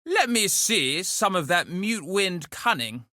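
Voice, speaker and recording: A man voicing a cocky, chivalrous duelist in a video game, throwing out short, sporting challenges and gentlemanly banter. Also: high voice, big pitch swings, slow, no room sound, clean studio sound, full character voice. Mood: cocky, eager. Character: cocky, chivalrous male duelist eager for a scrap.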